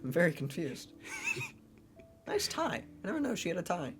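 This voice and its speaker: A woman's voice: lowish voice, big pitch swings, moderate pace. A woman co-hosting a let's play with casual, offhand banter and dry jokes. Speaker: gaming video co-host. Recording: prominent game music behind.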